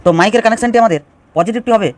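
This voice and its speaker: A man's voice: high voice, rapid-fire. A man giving an informal step-by-step DIY electronics tutorial, explaining and restating phrases as he goes.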